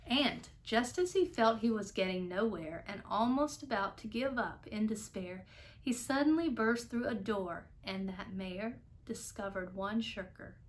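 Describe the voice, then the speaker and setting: High-voiced woman reading a storybook. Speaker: storybook reader. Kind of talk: expressive read-aloud narration.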